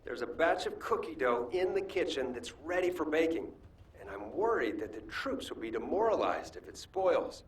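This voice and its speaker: Easygoing, slightly husky game soldier-cook. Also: male voice, a little high, big pitch swings, normal speed, full character voice. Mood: casual, businesslike. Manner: casual, teasing. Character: easygoing cheeky young soldier-cook, passionate about food. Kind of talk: video game voice acting.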